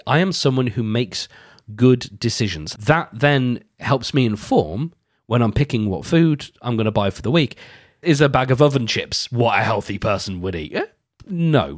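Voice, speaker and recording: A man's voice: mid-range voice, no echo, studio quality. A man hosting his own podcast, talking casually and rambling a little, with a congested, nasal voice from a cold. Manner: chatty, rambling, self-correcting. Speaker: podcast host and producer. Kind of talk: casual solo podcast talk.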